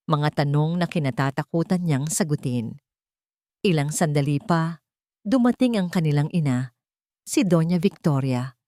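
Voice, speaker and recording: A woman's voice: low-pitched, dark tone, dry recording, clean studio sound. A woman with a Filipino accent narrating a dramatic scripted story as a storyteller.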